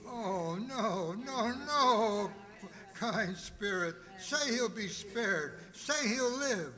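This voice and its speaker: An actor playing a frightened old miser in a radio drama, pleading in anguish. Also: male voice, high-pitched, animated, drawn-out words, bright, forceful tone, exaggerated voice. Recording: reverberant hall recording.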